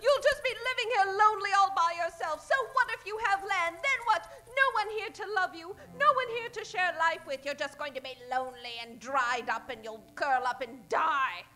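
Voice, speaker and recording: Lady doing bright high kidnapped heroine, indignant, melodramatic, old time radio western. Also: normal speed, hardly any pauses. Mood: scolding. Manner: melodramatic, scolding.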